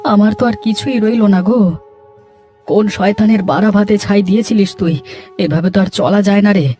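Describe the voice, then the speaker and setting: A man's voice: sits high, fairly even intonation, normal speed, warm tone, faint music behind, natural voice. A man voicing an aggrieved villager in a melodramatic cartoon moral tale, emphatic and lamenting.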